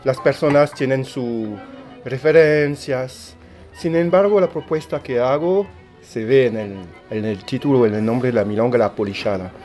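A man with a French accent, French his first tongue, explains things in halting, searching phrases full of repeated words and fillers. His voice sits at a middle pitch, and soft music plays faintly behind him on this radio interview. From France. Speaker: tango DJ and milonga organizer.

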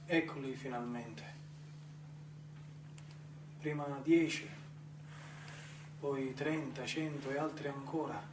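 An actor playing an entranced storyteller in a poetic theatrical monologue, lyrical and full of incantatory repetition. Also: male voice, a little high, noisy background. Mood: hushed wonder. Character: rapt storyteller visitor, dreamily entranced by swimming tuna. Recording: echoey room, distant mic, music behind. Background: clear music.

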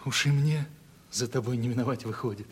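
A man's voice: mid-range voice, fairly quick, breathy edge, lightly acted. An actor playing a simple, tender, anxious young provincial man in love in a 1970s Soviet radio play, folksy and earnest. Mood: aching tenderness. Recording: old radio play, faint music behind.

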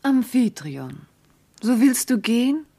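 An actress playing a tender, devoted noble wife, speaking classical verse with earnest, lyrical declamation in a radio drama. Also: female voice, fairly high pitch, sing-song, takes it slowly, creaky, mellow, husky, exaggerated voice. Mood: yearning.

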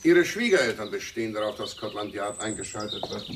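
An actor playing a courteous, insinuating police inspector in a German radio crime drama, speaking in a polite, deliberate, probing way. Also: male voice, lowish voice, expressive, noisy background, natural voice.

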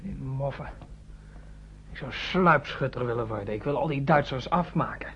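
A man's voice: mid-range voice, moderate pace, a bit bright, close to an everyday voice. A male actor playing a sullen, embittered sixteen-year-old refugee boy in a radio drama, speaking tersely and colloquially. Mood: bitter, vengeful. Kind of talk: radio play acting. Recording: dull, noisy old radio recording.

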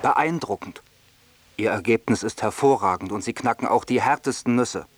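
A man playing a formal, deadpan quizmaster in a scripted radio feature. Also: on the low side, moderate pace, breathy edge, no echo. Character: male quizmaster delivering mock intelligence test verdicts. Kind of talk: scripted quiz announcing.

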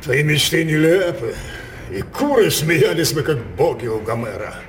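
A man's voice: on the high side, lively intonation, moderate pace, a little hiss or hum, natural voice. Grandiose scheming king played by a man, wildly swooping delivery. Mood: grandiose, mocking. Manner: theatrical, declamatory, imperious. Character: capricious scheming tyrant king, grandiose, mocking, volatile. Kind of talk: classical stage drama for radio.

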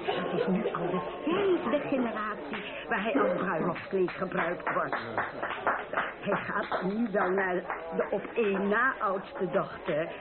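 Fussy middle-aged mother, flustered, gushing, very lively pitch, thin band-limited sound, radio drama. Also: slow. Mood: defensive, awkward. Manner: flustered, hesitant, gushing, repeats herself. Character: fussy, chattering middle-aged mother at her daughter's wedding. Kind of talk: radio play acting. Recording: tinny band-limited radio play, loud music, party crowd.

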